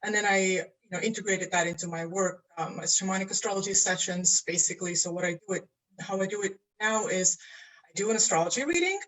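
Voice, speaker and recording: A woman's voice: medium pitch, lively intonation, fast talker, a bit bright, rough recording. A woman from Estonia, an astrologer and coach, talking casually in an interview over a video call, earnest and searching for words.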